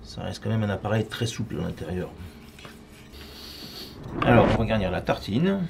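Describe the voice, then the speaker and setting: A man's voice: fairly low pitch, very animated, normal speed. A middle-aged man giving a casual, step-by-step cooking demonstration as a chef, talking informally while he works. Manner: informal, talking while working with his hands. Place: professional restaurant kitchen, tiled, indoors. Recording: clearly noisy kitchen with clattering dishes. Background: loud kitchen ambience, clattering pans and cutlery.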